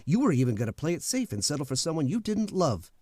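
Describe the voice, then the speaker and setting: A man's voice: fairly high pitch, sing-song, quick pace, darkish tone, dry recording, clean studio sound. A man playing a blunt, easygoing, footloose suitor with a casual, candid manner in a radio drama. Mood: frank, earnest. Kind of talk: old-time radio drama acting.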